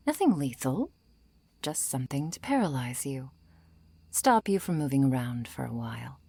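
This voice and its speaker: Woman as a very deep, breathy vampire seductress. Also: big pitch swings, dry recording, full character voice. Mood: smug, composed. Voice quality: low, breathy, creaky. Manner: slow, coaxing, softly threatening, often whispering. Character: seductive, menacing undead female vampire, calm and hungry. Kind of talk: intimate ASMR roleplay.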